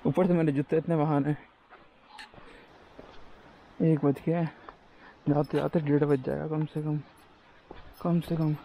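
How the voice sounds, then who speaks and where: A young man with a slightly high voice keeps up a joking running commentary as he walks a forest trail. His words come through a handheld action camera out of doors, with faint outdoor sounds behind him. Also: flat delivery, a little slow, gravelly, long pauses, dark tone, slight background noise, poor audio. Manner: chatty, joking running commentary to himself while walking. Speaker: travel vlogger. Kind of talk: casual hiking vlog commentary.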